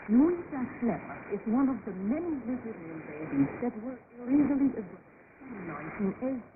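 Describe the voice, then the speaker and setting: A woman's voice: a little high, big pitch swings, brisk, phone-quality sound. A woman reporter reading scripted documentary narration for a radio news programme, heard over shortwave. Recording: noisy band-limited shortwave radio broadcast. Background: heavy shortwave static.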